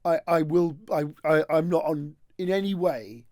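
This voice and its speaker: Hoarse fifties male co-host, jokey and rambling, slightly high voice, dry studio sound. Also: moderate pace, darkish tone, no echo. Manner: rambling, jokey, self-mocking. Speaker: podcast host. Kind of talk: casual chat.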